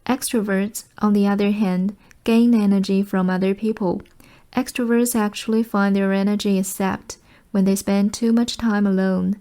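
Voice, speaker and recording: A woman reading short study texts aloud in a measured, formal manner. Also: medium pitch, fairly even intonation, mellow. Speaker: reader of practice passages.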